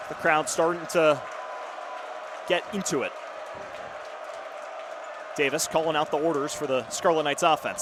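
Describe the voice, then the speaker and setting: A man in his early twenties calling live basketball play-by-play in punchy, clipped phrases. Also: on the high side. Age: early 20s. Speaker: radio play-by-play basketball announcer. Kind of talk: live sports commentary. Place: courtside in a basketball arena. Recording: loud arena crowd and court noise. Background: crowd, bouncing basketball.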